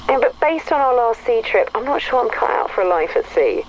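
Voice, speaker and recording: A woman's voice: fairly high pitch, very animated, poor audio. Casting: sardonic, reserved British woman, very varied intonation, natural game acting, faint noise behind. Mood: dry, hesitant. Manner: dry, measured, sardonic.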